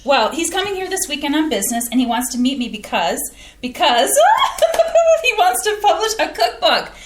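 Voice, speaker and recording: A woman playing an excitable, fussy Midwestern housewife and mother in a staged play reading. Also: high voice, normal speed, roomy sound, heavy background noise. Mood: excited, flustered. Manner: flustered, fussy and eager. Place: theatre stage.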